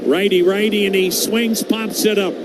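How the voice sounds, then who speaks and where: A man's voice: sits high, normal speed. An American man calling live baseball play-by-play on the radio in clipped phrases that lift with the action. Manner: clipped phrases, rising excitement on plays. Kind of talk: live radio sports commentary. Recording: loud steady ambient noise behind.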